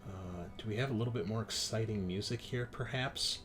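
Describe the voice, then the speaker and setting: A man's voice: on the low side, brisk, music in the background. A man narrating a tabletop roleplaying game as game master, setting scenes and calling for rolls.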